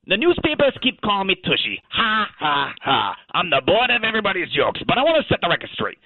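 A man's voice: high-pitched, wildly swooping pitch, no echo, exaggerated voice. Man doing a cheeky Australian dog on the phone. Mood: indignant. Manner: blustery, slangy, quick to take offense then apologize. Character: cheeky, touchy male pet dog from Australia. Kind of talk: comic character phone interview on radio. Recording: thin phone line.

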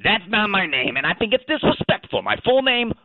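A man's voice: sits high, sing-song, no room sound. A man voicing a cheeky, touchy pet dog with an Australian accent, blustery and slangy, in a comic radio phone interview. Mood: indignant. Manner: blustery, slangy, quick to take offense then apologize. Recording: thin phone line.

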